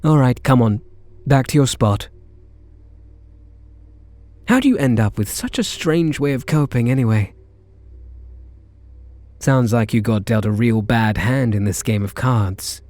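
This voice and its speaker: A British man playing a reluctant kidnapper in an intimate ASMR roleplay, wry and incredulous, speaking in short halting phrases. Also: medium pitch, expressive, a little mellow, dry recording, lightly acted. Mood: wry, amused. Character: reluctant male kidnapper, gruff but secretly softening. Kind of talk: ASMR roleplay acting, intimate one-sided dialogue.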